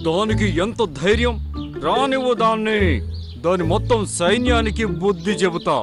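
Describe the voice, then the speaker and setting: A man's voice: high voice, normal speed, music in the background, natural voice. A man voicing a proud, blustering animal king of the forest in a children's cartoon, theatrical and declamatory. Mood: boastful, commanding.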